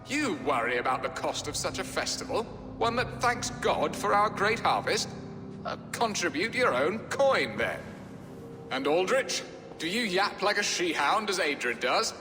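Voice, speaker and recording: A blustering medieval Saxon lord, with a lively, swooping voice pitched a little high. He speaks grandly and wryly in a big reverberant space with music behind him. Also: measured pace. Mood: exasperated. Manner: grandiose, wry, rhetorical delivery. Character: blustering middle-aged Saxon lord, jovial and theatrical. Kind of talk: dramatic video game dialogue. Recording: echoey hall reverb with music.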